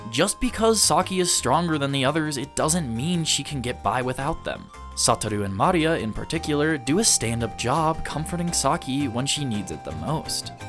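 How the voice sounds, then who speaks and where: Expressive man narrating a scripted video essay. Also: medium pitch, normal speed, hardly any pauses, over music. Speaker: video essayist reviewing an anime.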